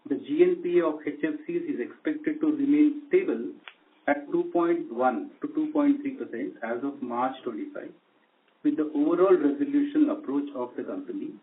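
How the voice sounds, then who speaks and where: A man with an Indian accent formally reading out a scripted corporate earnings presentation as a company executive on a conference call. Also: mid-range voice. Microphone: conference call line. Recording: tinny narrowband conference phone line.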